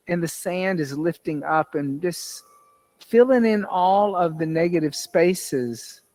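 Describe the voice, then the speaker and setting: A man leading a guided yoga nidra meditation as an instructor, speaking in measured, repetitive instructional phrases. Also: sits high, darkish tone.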